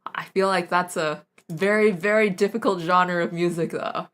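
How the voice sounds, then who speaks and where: A woman's voice: fairly low pitch, steady tone, moderate pace, a bit bright. A young woman streaming a casual recorder lesson, rambling and self-deprecating, with frequent laughs. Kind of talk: casual live-stream chat.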